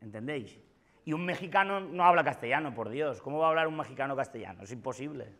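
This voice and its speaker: A man's voice: medium pitch, lively intonation, fast talker, a bit bright. A man in his forties with a Valencian Spanish accent, giving an emphatic, gesturing philosophy lecture to students in a classroom, often repeating his points. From Valencia, Spain. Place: classroom with whiteboard. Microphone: far camera.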